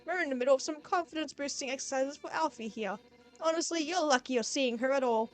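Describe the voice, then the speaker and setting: A woman voicing a brash female fish warrior who yells and trades cocky smack talk. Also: high-pitched, fast, faint music behind, lightly acted. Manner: yelling, cocky trash talk.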